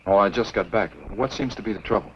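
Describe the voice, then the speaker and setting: An actor playing a calm, take-charge man leading a search party, in a brisk, authoritative 1950s B-movie style. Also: on the low side, a little hiss or hum, close to an everyday voice. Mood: calm, concerned. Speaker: film actor. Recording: muffled old 1950s film soundtrack, music behind.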